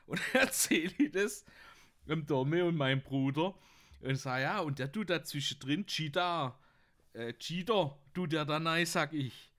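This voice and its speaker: A man's voice: on the high side, a little slow, fairly bright tone. A young man telling rambling anecdotes casually, often laughing at his own stories. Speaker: podcast host.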